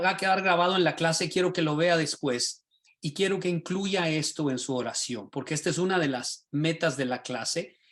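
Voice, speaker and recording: A man, a pastor and teacher, teaching a class over a video call in a lively, sermon-like way, often asking his listeners rhetorical questions. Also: a little high. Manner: animated, rhetorical questions, asks the class directly.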